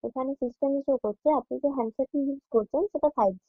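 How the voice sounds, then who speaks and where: Very fast-talking woman on a tinny phone line. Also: high-pitched, no room sound, soft background music. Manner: polite, formal. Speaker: telecom customer care agent. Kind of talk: customer service call. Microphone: telephone.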